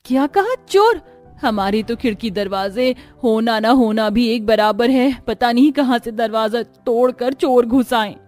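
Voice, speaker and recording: A woman's voice: on the high side, unhurried, darkish tone, faint music behind, natural voice. A woman voicing an exasperated young daughter-in-law in a family cartoon, with a complaining, exclamatory manner. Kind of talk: Hindi family cartoon storytelling.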